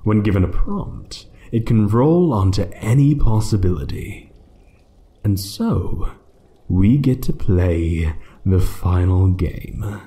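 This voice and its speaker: An ancient dragon guarding its hoard , acted by a man, speaks in a dark, mellow voice that swings widely in pitch. The delivery is measured and theatrical, sometimes hushed, with a condescending, playful menace suited to fantasy ASMR. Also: animated. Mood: menacing, playful. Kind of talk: fantasy ASMR roleplay monologue.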